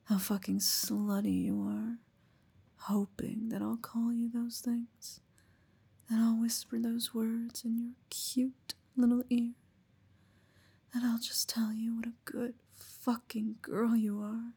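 A woman whispering slowly and teasingly into a close mic, in an affectionate, intimate roleplay. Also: a little high, level tone, vocal fry, warm tone, breathy edge. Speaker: audio roleplay performer.

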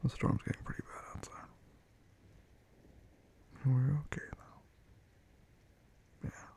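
A man softly whispering a tender, reassuring ASMR comfort roleplay. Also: takes it slowly.

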